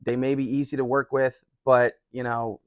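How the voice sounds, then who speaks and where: A man in his forties chats casually as the host of a review. His voice sits at an average pitch and is recorded dry, with little room sound. He rambles a little and comes across as polite and appreciative. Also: level tone, moderate pace, clean tone, dry recording. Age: 40s. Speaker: cigar reviewer and video host. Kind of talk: casual chat.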